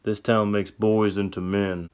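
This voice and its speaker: A man voicing a reformed gunslinger who speaks calmly and steadily. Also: a little slow, clear, resonant tone, natural voice. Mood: steady. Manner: calm, steady, low-key. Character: deep-voiced reformed male gunslinger.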